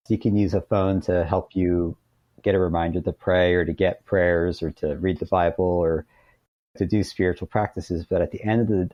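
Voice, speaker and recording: A man's voice: low-pitched, no room sound, muffled recording. A man speaking as a podcast guest, explaining ideas in a thoughtful, reflective interview style.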